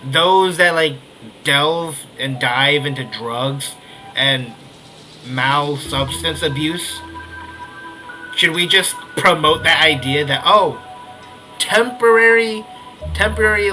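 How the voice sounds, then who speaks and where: Bright, punchy male game streamer. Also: fairly high pitch, poor audio. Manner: excitable, slangy, repeats himself. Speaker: streamer reacting to a video game. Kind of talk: casual reaction commentary.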